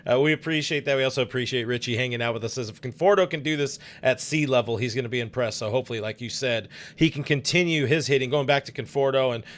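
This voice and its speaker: Smooth-voiced man hosting a chatty podcast. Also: medium pitch, quick pace, few pauses. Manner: joking, chatty, many filler words. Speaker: podcast co-host. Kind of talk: casual sports podcast chat.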